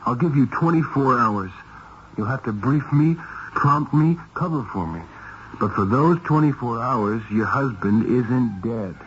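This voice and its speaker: An actor playing a blunt, quick-thinking detective with a clipped, direct delivery in a 1970s radio mystery. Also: male voice, medium pitch, lively intonation, lightly acted. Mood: urgent, commanding. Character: blunt, quick-thinking male detective, hard-boiled and in command. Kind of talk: 1970s radio mystery acting with first-person narration. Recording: dull, hissy 1970s radio recording.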